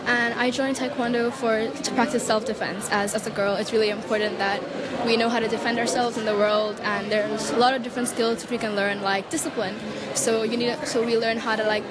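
Girl of fourteen, fairly high voice, informal interviewee, halting delivery, noisy crowd around her. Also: flat delivery. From Hong Kong. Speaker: taekwondo student being interviewed. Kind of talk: casual interview answers. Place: busy public sports centre. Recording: loud crowded sports hall.

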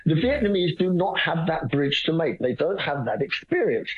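A man's voice: fairly high pitch, very animated, normal speed, slightly rough, phone-quality sound. A man interviewed as a guest, talking in a hesitant way with many restarts and a dry, self-deprecating humor. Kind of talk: conversational interview.

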